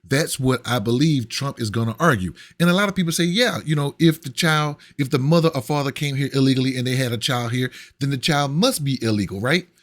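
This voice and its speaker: A man's voice: mid-range voice, fairly quick, hardly any pauses. Blunt, heated male commentator. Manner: blunt, heated, profane, repeats himself. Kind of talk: ranting political commentary.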